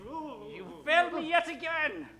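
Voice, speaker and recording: A melodramatic pulp villain, bright and high in pitch. He is menacing and bombastic in comic old-radio style, a little off the microphone with faint music behind. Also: animated, unhurried, exaggerated voice. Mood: furious. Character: raging male pulp villain who bullies his henchmen. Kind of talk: comedic old-time radio villain acting.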